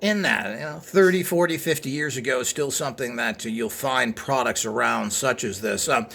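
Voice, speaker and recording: Bright, creaky-voiced man presenting informally. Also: medium pitch, moderate pace. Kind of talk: informal show-and-tell narration.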